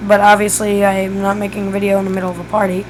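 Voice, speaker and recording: A woman walks through a game build in a relaxed, think-aloud way, her voice worn and going hoarse. She speaks in an even, level tone with little rise and fall. A steady noisy hiss sits under the recording. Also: mid-range voice, fast. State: losing her voice. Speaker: gaming tutorial creator. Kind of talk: casual step-by-step game tutorial.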